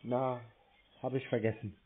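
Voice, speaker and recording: A man in a casual phone conversation, speaking in a deadpan, naively stubborn manner. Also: mid-range voice, measured pace, phone-quality sound. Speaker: host and prank call recipient.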